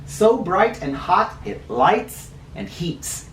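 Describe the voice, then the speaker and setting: A man's voice: high voice, animated, takes it slowly, fairly bright tone. Echoey male storyteller reading to children. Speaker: host reading a children's picture book aloud. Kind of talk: read-aloud storytelling with teaching asides. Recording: roomy echo, distant mic, faint noise.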